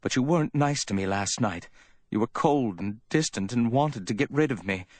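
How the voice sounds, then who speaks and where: A man's voice: mid-range voice, animated, normal speed, no room sound, pitched above the performer's own voice, natural voice. A man acts out a distraught woman in a hardboiled audiobook story. The voice is lifted well above his own, choked and whimpering. She pleads and wails with jealous indignation, recorded close and dry. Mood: distressed. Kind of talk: dramatic audiobook character reading.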